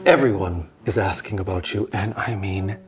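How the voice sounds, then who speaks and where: A man's voice: sits low, normal speed, faint music behind, lightly acted. A man voicing a nervous, fawning old baron in an audio drama. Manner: fawning, flustered.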